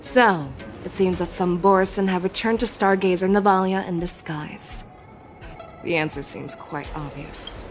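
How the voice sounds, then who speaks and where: A woman playing a proud, composed female general in a video game, speaking with measured authority. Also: on the low side, over music, natural voice. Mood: composed, confident. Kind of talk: dramatic video game voice acting.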